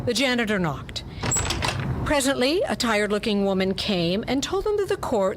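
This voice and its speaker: A woman's voice: mid-range voice, background noise. A woman narrating a pulp detective story as a dramatic reading.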